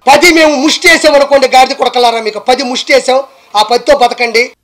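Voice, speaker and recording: A woman talking loudly in a mocking manner. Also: high voice, steady tone, fairly quick, slight background noise.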